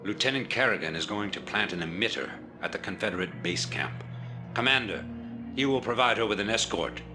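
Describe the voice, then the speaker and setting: Deep, commanding rebel leader played by an actor. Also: male voice, expressive, over music, natural voice. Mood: resolute, accusing. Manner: measured, authoritative, oratorical. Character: commanding, calculating male rebel leader, grave orator. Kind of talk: video game cutscene acting.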